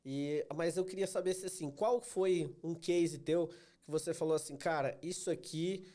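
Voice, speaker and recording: Host, male, Santa Catarina accent, a bit high and mellow, has a cold, chatty storyteller. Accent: Santa Catarina Brazilian Portuguese. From Santa Catarina, Brazil. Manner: chatty, storytelling, slows down to be understood. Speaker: podcast host, sales trainer. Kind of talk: casual interview chat.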